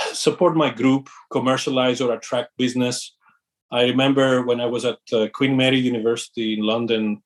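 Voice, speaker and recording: Greek man recalling his career path. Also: mid-range voice. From Greece. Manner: thoughtful, measured, with fillers and restarts. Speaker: company founder and CEO, guest. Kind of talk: interview conversation.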